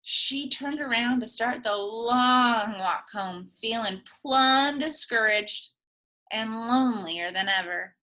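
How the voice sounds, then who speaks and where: A woman teacher reading a picture book aloud to young children, expressive and full of playful asides. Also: on the high side, takes it slowly. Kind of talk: children's storytime read-aloud.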